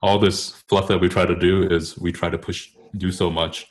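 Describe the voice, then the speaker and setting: A man's voice: low-pitched, level tone, moderate pace, a little hiss or hum. A man explaining vocal technique casually, often rephrasing himself as he goes. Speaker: choral bass singer discussing vocal technique.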